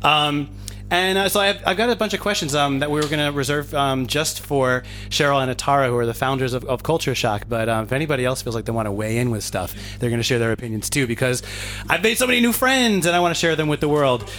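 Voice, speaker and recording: A hoarse man hosting a podcast, chatting enthusiastically with guests into a handheld microphone indoors. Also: medium pitch, quick pace, fairly bright tone, soft background music. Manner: enthusiastic, many fillers. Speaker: podcast host. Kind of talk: casual interview, hosting. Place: indoor gathering room.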